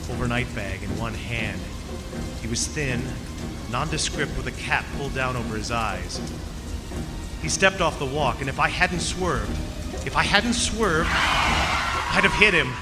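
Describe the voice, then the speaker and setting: A man's voice: medium pitch, natural voice. A man with an American accent playing an uneasy traveler narrating his drive in an old-time radio drama, earnest and dramatic. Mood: shaken. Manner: earnest, dramatic, hesitant repetitions. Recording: reverberant radio drama with prominent music.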